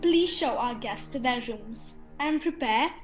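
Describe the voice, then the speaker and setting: A woman's voice: fairly high pitch, animated, a little slow, soft background music. A woman playing a grand, ominously hospitable countess in a student radio play, stately and theatrical. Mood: gracious, commanding.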